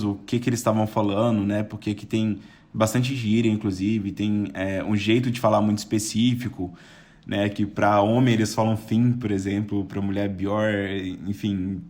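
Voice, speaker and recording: A young man with a Brazilian Portuguese accent chats as a podcast guest. His voice sits a little low. He rambles easily, restarting his sentences and laughing now and then. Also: a little mellow. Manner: chatty, with frequent restarts and laughs. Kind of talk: casual podcast chat.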